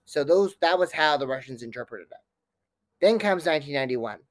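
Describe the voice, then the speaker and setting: A man's voice: on the high side, expressive, fairly quick, no echo. A man speaking as an academic guest in an interview, giving fluent, detailed explanations.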